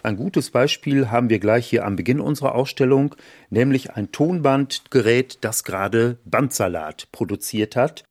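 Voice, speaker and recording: A man's voice: mid-range voice, normal speed, darkish tone. A man explaining exhibits as a museum director, in a guided-tour style of talk.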